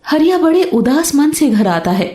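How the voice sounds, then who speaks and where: A woman's voice: high-pitched, sing-song, normal speed, reverberant. A woman narrator reading a scripted story. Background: soft background music.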